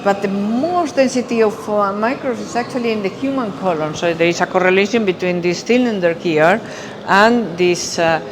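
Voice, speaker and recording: A woman from Spain with a Spanish accent guides visitors through an exhibition as its curator, speaking in a halting way. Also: mid-range voice, background noise. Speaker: exhibition curator, architecture professor. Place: exhibition gallery, indoors.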